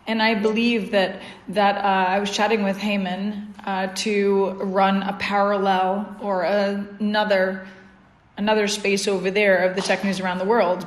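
A woman's voice: mid-range voice, echoey room, slight background noise. A woman asking curious questions during a casual live audio chat. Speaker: guest speaker.

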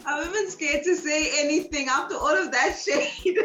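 A woman's voice: high-pitched, measured pace. A woman chatting in casual, joking banter. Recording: echoey room, loud music, background chatter.

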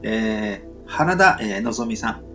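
A man hosting a casual solo podcast in a polite, humble, halting and apologetic way. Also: lowish voice, expressive, sharp tone, highs cut off, soft background music. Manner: halting, apologetic, self-deprecating. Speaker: podcast host introducing web novels. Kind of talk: casual solo radio talk.

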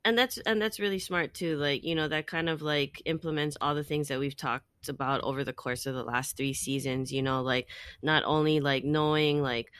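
Young co-host, smooth resonant voice, dry close sound, supportive and reflective. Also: medium pitch, steady tone, normal speed, fairly bright tone, no echo. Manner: supportive, reflective, uses many fillers. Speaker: podcast co-host. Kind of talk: casual chat.

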